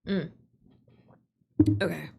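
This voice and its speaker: A woman livestreamer chatting casually and playfully with viewers, rambling and swearing as she goes. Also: extremely slow. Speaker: streamer, writer and voice actor.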